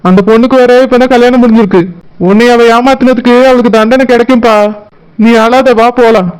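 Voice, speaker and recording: A man voicing a villager in a cartoon, gently soothing and persuading, with a Kanyakumari Tamil accent. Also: very high voice, steady tone, a little fast, clear, resonant tone, poor audio. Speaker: cartoon voice artist.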